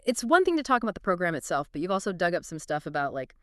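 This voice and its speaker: A woman's voice: on the low side, sing-song, racing, no echo, studio quality. A woman co-hosting a podcast in casual chat, talking conversationally with lots of fillers and eager reactions. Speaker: podcast co-host.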